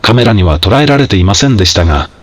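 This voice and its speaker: Guy acting as formal tv news anchor, lowish voice, pitch moves a lot, scripted bulletin style. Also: expressive, fast talker. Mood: composed, formal. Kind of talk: scripted news broadcast with phone interview.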